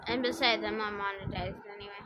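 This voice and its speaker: A woman's voice: medium pitch, steady tone, fast talker, heavy background noise. A woman chatting casually on a game livestream in a blunt, opinionated, slangy way. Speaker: streamer playing a game. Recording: music playing behind the voice. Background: game music.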